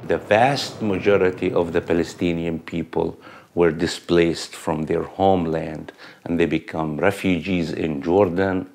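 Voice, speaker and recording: A man speaking in a documentary interview, halting and full of fillers. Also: low voice, creaky, darkish tone.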